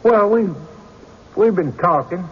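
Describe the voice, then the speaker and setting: Slow-talking, defensive rustic witness, high-pitched man. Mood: guarded. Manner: folksy, halting, stammering. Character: evasive, defensive rustic male witness under questioning. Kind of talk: radio drama courtroom acting. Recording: dull, noisy old radio recording.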